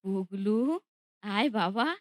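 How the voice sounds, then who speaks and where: A woman voicing a gentle, sad old grandmother in a cartoon, talking softly and pleadingly. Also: mid-range voice, steady tone, slow pace, no room sound, studio quality, close to an everyday voice. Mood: anxious. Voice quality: soft, pleading. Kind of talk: cartoon voice acting.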